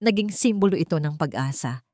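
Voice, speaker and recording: A woman narrator reading a scripted romantic drama story in measured, short phrases. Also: low-pitched, lively intonation, a little mellow, dry recording, clean studio sound.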